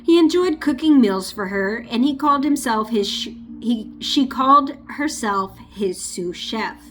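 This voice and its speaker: A woman with a fairly high voice reads a nonfiction book aloud in a casual, amateur style. She trips over unfamiliar names and restarts sentences. Now and then she stops for a quick, offhand comment of her own. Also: animated, fairly bright tone, slight background noise. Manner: stumbles over names and restarts, breaks off for chatty asides. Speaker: audiobook reader. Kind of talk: amateur audiobook reading with asides.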